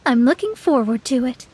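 A woman's voice: high-pitched, darkish tone, husky, full character voice. A woman playing a proud young female astrologist in a video game, with a pompous, formal, theatrical way of talking. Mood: lofty, eager. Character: proud young female astrologist, haughty, self-important mentor.

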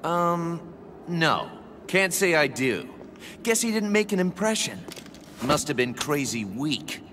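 A man's voice: on the high side, very animated, slow, fairly bright tone, exaggerated voice. An actor playing a cocky, foul-mouthed young male assassin in a video game, sarcastic and brash. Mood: mocking, flippant. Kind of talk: video game cutscene acting. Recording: clear background ambience noise.

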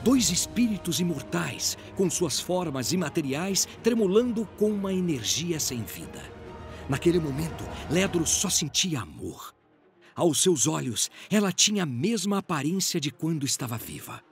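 Animated, higher-pitched Brazilian male narrator. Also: normal speed, music in the background. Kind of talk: dramatic scripted story narration.

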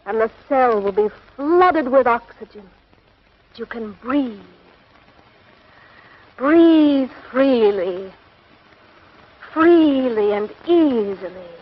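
An actress playing a mad, power-hungry villainess in a 1950s TV serial, theatrical and deliberate, coaxing and menacing. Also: female voice, on the high side, slightly rough, full character voice. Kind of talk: 1950s television serial acting. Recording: dull, lo-fi 1950s television soundtrack.

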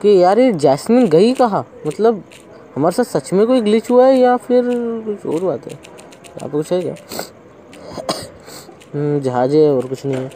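Young male host, relaxed let's-play chatter, light faint background noise. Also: sits high, normal speed, long pauses. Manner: chatty, thinks aloud while playing. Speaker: gaming channel host. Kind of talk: casual let's-play commentary.

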